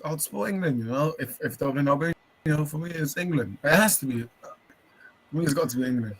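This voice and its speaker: A man's voice: a little high, brisk, breathy edge, low-quality recording. A man bantering casually about football on a watch-along, laid-back and blokey.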